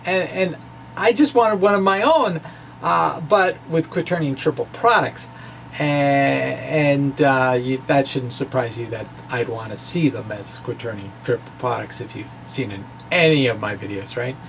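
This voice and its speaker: Slow, creaky-voiced man. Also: medium pitch, a little hiss or hum. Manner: hesitant, self-deprecating, animated asides. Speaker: physics blogger presenting his own work. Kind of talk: informal technical ramble, reading of own blog.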